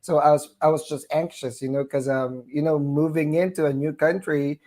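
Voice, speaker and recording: Man, from the Philippines, Southern US twang, nurse guest, casual interview, fillers and laughter, slightly high voice. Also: steady tone, moderate pace, warm tone. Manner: chatty, frequent fillers, laughs between phrases. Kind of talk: conversational interview.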